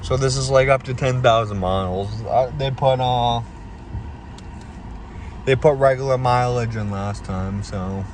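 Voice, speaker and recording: A man's voice: mid-range voice, a little slow. A man, chatting casually while driving and often repeating himself and restarting his sentences. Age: 20s. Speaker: vlogger doing car maintenance videos. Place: inside a moving car. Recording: car noise behind the voice.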